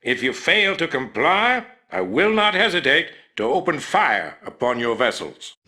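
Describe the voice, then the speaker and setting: Hard-nosed army general: forceful, pompous, very animated delivery, threatening and proud. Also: male voice, on the high side, measured pace, heavily acted. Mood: threatening, officious. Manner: commanding, pompous. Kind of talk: scripted video game acting.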